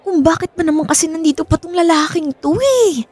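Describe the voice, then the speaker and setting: A woman's voice: very high-pitched, animated, a little fast, a little mellow. A woman acting a young married woman in a Filipino radio drama, with a Filipino accent and an easily flustered, evasive manner.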